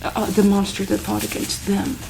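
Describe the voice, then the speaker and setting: A woman speaking softly in an ASMR medical roleplay as a calm, caring doctor, halting often with pauses and ums. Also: medium pitch, creaky, a little hiss or hum. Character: calm, caring female monster-hospital doctor.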